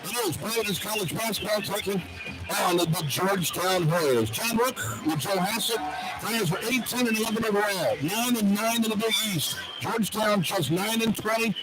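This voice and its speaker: High-pitched male play-by-play radio host. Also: normal speed, rough recording. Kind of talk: live basketball commentary.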